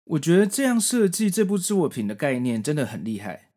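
Taiwanese guy in his 40s doing a solo podcast review, really animated up and down intonation. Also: a little high, a little fast. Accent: Taiwanese Mandarin. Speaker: podcast host. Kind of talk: solo manga review, conversational narration.

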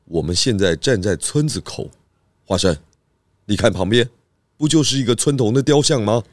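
A man playing a clever, methodical pig detective who explains deliberately in a children's audio drama. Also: fairly low pitch, natural voice.

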